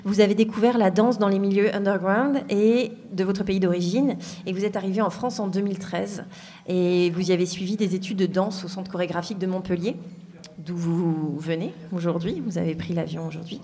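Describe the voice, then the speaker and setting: A woman's voice: medium pitch, steady tone, a little fast, mellow. Hesitant woman interviewer. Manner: hesitant, many fillers. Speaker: interviewer, host. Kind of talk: radio interview.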